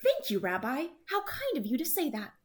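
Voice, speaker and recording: A woman's voice: high-pitched, wildly swooping pitch, normal speed, voice pushed up. A woman voicing a kindly, chatty old woman who fusses over her guest in a children's storybook. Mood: bright, welcoming. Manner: hospitable, fussing, exclaiming. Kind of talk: children's storybook read-aloud.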